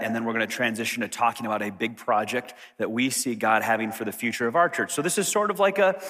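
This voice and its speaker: Male pastor, mid-pitched, slightly raspy from recent illness; friendly conversational sermon, long church reverb. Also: pushed and bright. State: slightly raspy throat after being sick. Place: church sanctuary. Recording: reverberant hall.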